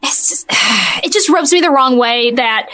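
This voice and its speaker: A woman's voice: a little high, lively intonation, unhurried, bright, forceful tone. A woman in her twenties co-hosting a gaming talk show, chatting casually and thinking aloud in winding, hedged sentences. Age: 20s. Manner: rambling, hedging, thinks aloud. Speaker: co-host of a video game talk show. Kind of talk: casual opinionated chat.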